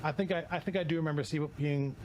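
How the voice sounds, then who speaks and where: A hoarse man streaming casually while opening card packs, rambling, swearing and often restarting his sentences. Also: on the high side, quick pace, darkish tone, a little hiss or hum.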